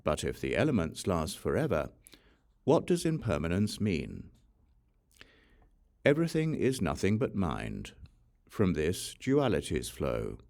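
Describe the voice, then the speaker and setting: A man narrating an audiobook of scripture, reading from a script in a measured, solemn way with deliberate pauses. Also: sits low, wildly swooping pitch, gravelly, a little mellow. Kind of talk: scripted reading of a Buddhist sutra.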